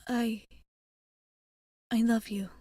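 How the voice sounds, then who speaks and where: Woman as a slow, whispering, tender vampire bartender. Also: a little high, little pitch movement, no echo, studio quality. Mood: tender, confessing. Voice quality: soft, breathy whisper. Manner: slow, deliberate, slightly formal, affectionate. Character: tender, old-souled female vampire bartender in love with a human. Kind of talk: whispered ASMR roleplay.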